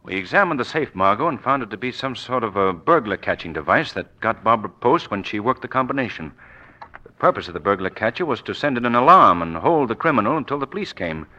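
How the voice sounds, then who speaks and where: Male, self-assured gentleman sleuth, 1940s radio style, forceful and articulate, muffled vintage sound. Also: mid-range voice, big pitch swings, quick pace. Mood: grave, assured. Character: urbane, commanding gentleman detective, self-assured. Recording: dull 1940s radio recording.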